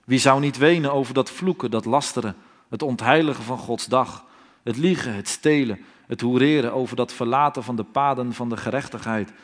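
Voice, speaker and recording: A man's voice: mid-range voice, brisk. A man delivers a stern sermon. His mid-range voice carries a solemn, pleading weight as he presses his listeners with question after question. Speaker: preacher. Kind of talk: fiery sermon.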